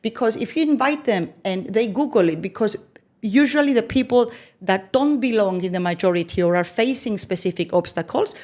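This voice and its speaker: A woman's voice: mid-range voice, lively intonation, a little fast, a little mellow. Woman explaining patiently, checking in often. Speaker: podcast guest. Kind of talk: explanatory conversation.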